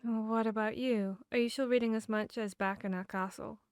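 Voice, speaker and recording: A woman playing a confiding, bookish vampire hunter in a fantasy ASMR roleplay, talking intimately with pauses. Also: fairly high pitch, fairly even intonation, clean tone, no room sound, clean studio sound, lightly acted. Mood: curious, gentle. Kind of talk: ASMR roleplay audio drama.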